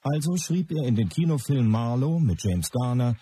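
An actor playing a matter-of-fact documentary narrator in a radio play, with a very husky voice. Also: male voice, mid-range voice, animated, moderate pace, warm tone. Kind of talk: scripted narration in radio drama.

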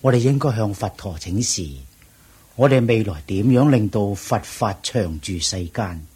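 An actor playing a sensible, dutiful monk in a radio drama, speaking earnest, formal Hong Kong Cantonese in a husky voice. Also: male voice, on the low side, animated, unhurried, darkish tone, full character voice. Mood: urging, composed. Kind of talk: Buddhist radio drama acting.

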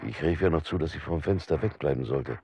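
An actor playing a persecuted husband in a documentary radio drama, recounting his sworn testimony in a formal, measured, precise way. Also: a bass voice, lively intonation, a little mellow, a little hiss or hum. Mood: sober, grave.

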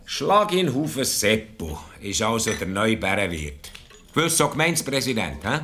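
Gruff, sharp-voiced old Bernese man. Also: on the low side, sing-song, moderate pace, slight background noise. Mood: gruff, taunting. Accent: Swiss German, Bernese. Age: 60s. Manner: curt, commanding. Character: gruff, wealthy old returned emigrant, aged about sixty-five. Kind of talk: radio play acting.

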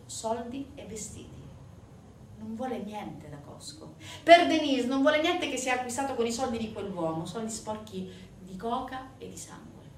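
Female stage storyteller, highish register, dramatic swings in volume, faint noise in the recording. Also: echoey room, recorded from a distance. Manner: intense, emphatic, swinging from hushed to loud. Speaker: stage actress narrating a monologue.

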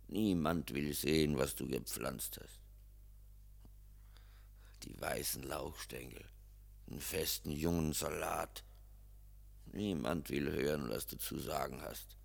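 An actor playing a lonely, slightly mad old gardener who broods and mutters to himself in a radio play. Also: male voice, heavily acted. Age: older. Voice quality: gravelly, very low. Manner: slow, brooding, talking to himself.

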